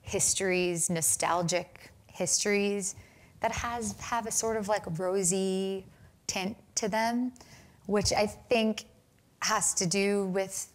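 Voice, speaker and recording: A young American woman answering interview questions thoughtfully, with many pauses, fillers and restarts. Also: medium pitch, fairly even intonation. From United States. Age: young adult. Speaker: film director, interview guest.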